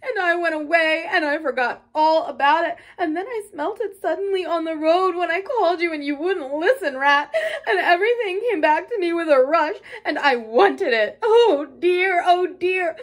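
A small, homesick male mole played by a woman reading a storybook. The voice is very high and plaintive, breaking with sobs. He sounds timid and pleading, always close to tears. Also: lively intonation, moderate pace. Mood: sobbing, heartbroken. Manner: sobbing, broken, plaintive delivery. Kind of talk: storybook character acting.

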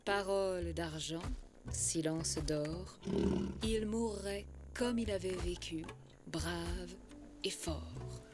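A woman narrating an animated short as a poetic storybook tale, in a solemn, lyrical and measured way. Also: on the low side. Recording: music under the voice. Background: music.

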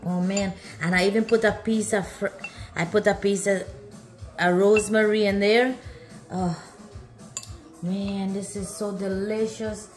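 A woman's voice: medium pitch, little pitch movement, unhurried, pauses often, reverberant, faint music behind. A middle-aged woman chatting casually as a food vlog host, often repeating herself as she exclaims over the food. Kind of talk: casual cooking vlog chat. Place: home kitchen, indoors.

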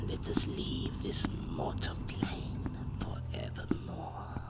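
A woman voicing a sinister female summoner who calls spirits, speaking in hissing whispers and slow ritual chants. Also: natural voice. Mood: commanding. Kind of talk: audio drama.

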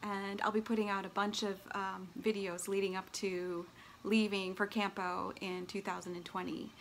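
A woman's voice: mid-range voice, little pitch movement, moderate pace, creaky, slight background noise. A middle-aged woman giving a casual, chatty, upbeat vlog announcement straight to camera at home. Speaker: vlogger and long-distance hiker. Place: small furnished living room at home, indoors.

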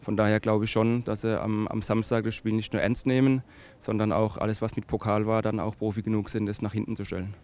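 Male football coach, 40s, low flat voice, brisk, outdoors. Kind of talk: interview answer. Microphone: handheld microphone.

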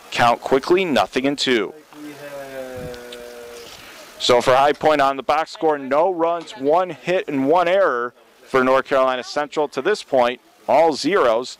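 A man doing radio play-by-play for a college baseball game, steady and measured, rising sharply when a play breaks open. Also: mid-range voice, clear, resonant tone.

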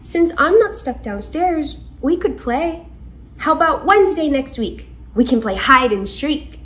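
A woman's voice: high voice, animated, unhurried, fairly bright tone. A woman voicing a friendly but timid, mournful boy ghost from a storybook in a dramatic, plaintive way. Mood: hopeful.

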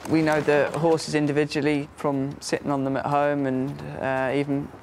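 A man's voice: a little high, monotone, normal speed, darkish tone, slight background noise. A young man from England, a jockey, chatting casually in an interview and leaning on "you know".

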